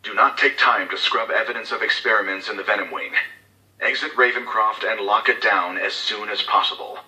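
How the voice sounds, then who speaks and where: A man's voice: on the low side, steady tone, fairly quick, bright, forceful tone, roomy sound, distant mic, low-quality recording. An actor playing a cold, scheming male corporate executive who gives covert orders in formal, clipped, authoritative speech for a game audio log. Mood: urgent, grim.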